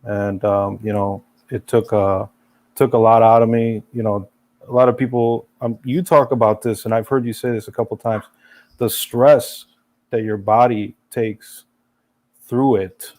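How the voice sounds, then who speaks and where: Guest, young adult man, no accent, earnest, slow and halting with uhs. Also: fairly low pitch, little pitch movement, darkish tone. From Serbia, raised in USA. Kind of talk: casual interview chat.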